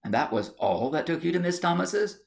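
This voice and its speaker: A man's voice: fairly high pitch, very animated, brisk, creaky, voice pushed up. A man voicing a fretful, overwrought wife in a storybook tale, exclamatory and breathless. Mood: remorseful.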